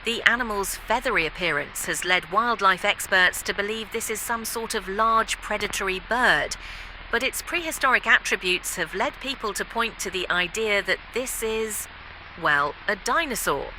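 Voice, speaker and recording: A woman's voice: medium pitch, moderate pace, bright, forceful tone, a little hiss or hum, poor audio. A woman playing a composed local TV news anchor, reading a scripted report. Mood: serious, measured.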